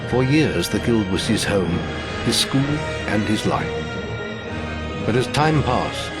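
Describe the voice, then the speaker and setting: A solemn storyteller narrates a fantasy game with music behind him. The voice is low, with sweeping storybook inflection and a measured, scripted delivery. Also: male voice, animated.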